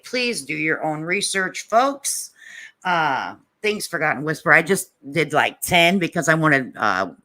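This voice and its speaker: Bright, forceful woman streaming live. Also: low-pitched. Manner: blunt, opinionated, emphatic repetition; folksy slangy phrasing. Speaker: livestream host. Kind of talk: casual live commentary to chat.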